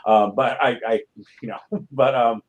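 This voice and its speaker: A man speaking as a playwright guest, explaining his craft casually in a halting, thoughtful way. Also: medium pitch, fairly even intonation, dull audio.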